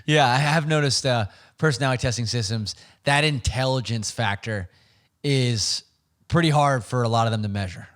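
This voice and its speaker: A man hosting a podcast interview, easygoing, offering short affirmations and relaxed comments. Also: mid-range voice, normal speed. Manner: easygoing, brief affirmations while listening. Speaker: podcast host.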